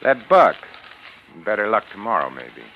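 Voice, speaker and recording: Urbane mock-friendly male menace, animated mid-pitched voice, vintage suspense radio, muffled noisy recording. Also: moderate pace. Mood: sly geniality. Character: smooth-talking, falsely genial, menacing gentleman host. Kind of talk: 1940s radio suspense acting.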